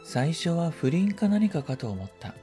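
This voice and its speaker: A man reading a first-person literary story as scripted narration, in a quiet, reflective, measured manner. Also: on the high side, big pitch swings, soft background music. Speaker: story reader.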